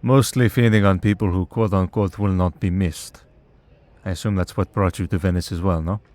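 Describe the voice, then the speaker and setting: An immortal male vampire who hunts his own kind, acted by a man for an audio roleplay. His voice sits low, and he talks in a wry, conversational way with weary patience and dry exasperation. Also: steady tone, normal speed, a little mellow, lightly acted. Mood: measured, wry. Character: centuries-old male vampire hunter in priest's collar, wry, patient. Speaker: voice actor. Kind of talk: scripted ASMR roleplay.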